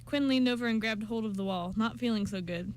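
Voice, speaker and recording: Female narrator, amateur radio drama: higher-pitched, reading from script, small stumbles, faint noise. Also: brisk.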